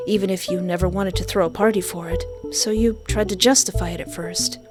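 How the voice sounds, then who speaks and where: A woman's voice: fairly low pitch, fairly even intonation, fairly quick. Woman narrating a game over music. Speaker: game streamer. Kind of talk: expressive read-aloud gameplay narration.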